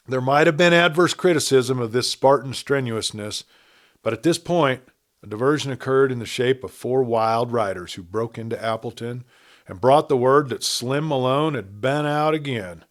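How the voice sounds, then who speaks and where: A man's voice: medium pitch, moderate pace. A man reading a western story aloud as a scripted narrator. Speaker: audiobook narrator.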